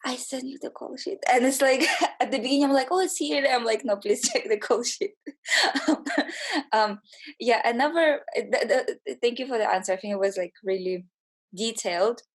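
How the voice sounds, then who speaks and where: A woman in casual conversation, speaking in a halting way and acting out short quotes as she goes. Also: on the high side, expressive. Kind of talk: casual chat.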